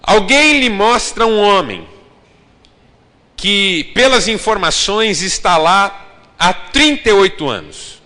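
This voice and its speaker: Bright-voiced pastor preaching in reverberant hall. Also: male voice, high voice, sing-song, slow pace. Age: 30s. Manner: preaching emphatically, addressing the congregation directly. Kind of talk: sermon. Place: large church hall.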